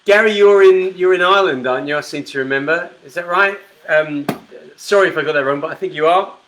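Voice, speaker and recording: A chatty middle-aged man hosts a live whisky broadcast in a relaxed, friendly way, reading out viewer comments as he goes. His voice is bright and forceful and sits a little high for a man. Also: quick pace, a little hiss or hum. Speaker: host of a live whisky show. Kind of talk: casual live-stream chat.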